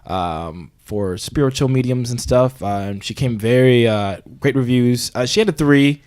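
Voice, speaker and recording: A man's voice: mid-range voice, unhurried, darkish tone, clear, resonant tone, natural voice. A man playing an earnest, bumbling amateur podcast host who interviews in an awkward, hesitant way, avoiding any conflict. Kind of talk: mock investigative interview.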